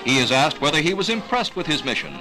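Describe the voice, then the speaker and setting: An American man reading scripted 1960s newsreel narration in a dramatic, measured announcer delivery. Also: a little high, very animated. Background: music.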